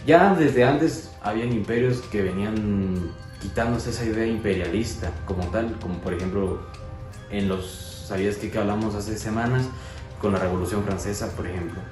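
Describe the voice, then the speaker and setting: A man's voice: lowish voice, moderate pace. A man explaining history as co-host in a casual educational chat, with many fillers and restarts. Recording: echoey room with music.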